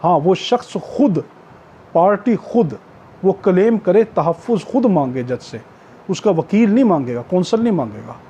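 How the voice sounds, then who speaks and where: Male lecturer, Pakistani accent, high register with a mellow tone, emphatic and repetitive explaining, some background noise. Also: expressive, moderate pace. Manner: emphatic, repetitive, checks understanding with rhetorical questions. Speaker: law teacher. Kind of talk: explanatory exam-prep lecture with acted-out examples.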